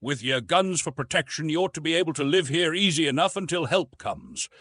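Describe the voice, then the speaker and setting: Man voicing a rough, husky mutinous sailor. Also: on the high side, lively intonation, brisk, a bit bright, no room sound, studio quality, voice pushed up. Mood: matter-of-fact. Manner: rustic seafaring dialect, plain-spoken. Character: rough mutinous sailor chief, gruff, matter-of-fact.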